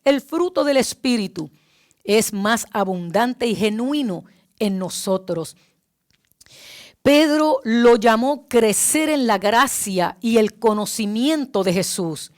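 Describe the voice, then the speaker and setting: A woman preacher delivers a devotional exhortation at a very slow pace. Her voice sits a little high, and she places each phrase deliberately, repeating structures for emphasis. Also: expressive. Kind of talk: devotional sermon, exhorting with repeated rhetorical questions.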